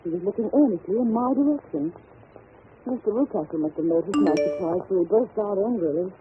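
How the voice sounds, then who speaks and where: A woman's voice: medium pitch, animated, a little fast, over music, lightly acted. A woman playing an anxious, genteel young governess in old-time radio drama, speaking earnestly with precise diction. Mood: confiding, intent. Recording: tinny, noisy old radio recording.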